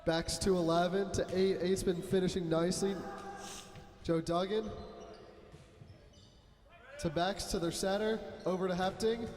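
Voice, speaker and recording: A young man calls a school volleyball game play-by-play, loud, chatty and joking, in a somewhat high voice. He sits in an echoey gym with a long ringing reverb. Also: steady tone, a little slow, pauses often. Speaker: play-by-play commentator. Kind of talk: amateur sports commentary.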